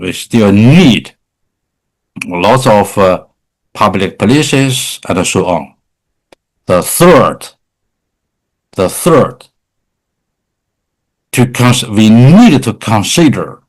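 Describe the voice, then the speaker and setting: A male professor with a Chinese accent, giving a conference lecture in halting English with frequent repetitions and hesitations. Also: on the low side, poor audio. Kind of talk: conference lecture and question answering.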